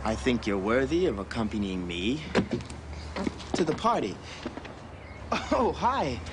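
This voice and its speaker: A man's voice: medium pitch, very animated, unhurried, very noisy. A young actor playing an awkward, caring teenage boy in a naturalistic drama. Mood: rehearsed suaveness. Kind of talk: naturalistic teen drama acting.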